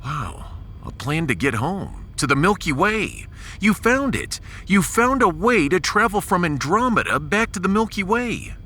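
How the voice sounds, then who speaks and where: A man's voice: high voice, big pitch swings, a little slow, bright, forceful tone, slight background noise, pitched above the performer's own voice, heavily acted. A man playing a bold, sarcastic starship captain in a science fiction audio drama, with a dramatic and wry delivery. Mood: excited.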